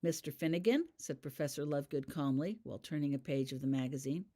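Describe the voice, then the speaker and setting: A woman's voice: very deep voice, fairly even intonation, fast, warm tone. A woman reading scripted fiction aloud as an audiobook narrator. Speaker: author reading their own fan fiction.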